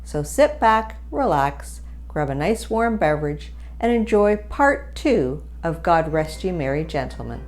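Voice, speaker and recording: A woman's voice: low-pitched, very animated, a little slow, few pauses, darkish tone. A woman reading a humorous story aloud as a storyteller, in scripted narration. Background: music.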